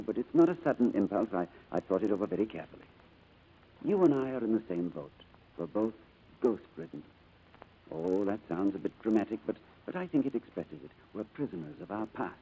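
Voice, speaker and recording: Actor as low-voiced reserved gentleman, old radio drama. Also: close to an everyday voice. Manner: hesitant, formal, gentlemanly. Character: reserved, courteous wealthy English businessman, haunted by lost past. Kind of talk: 1940s radio drama acting. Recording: noisy vintage radio broadcast.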